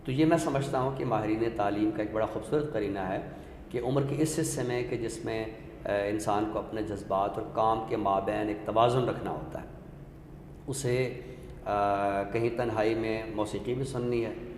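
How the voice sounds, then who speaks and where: A man explains literature as a talk show guest, measured and scholarly, often posing rhetorical questions. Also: on the low side, fairly even intonation, a little mellow. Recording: echoey hall-like room with faint noise.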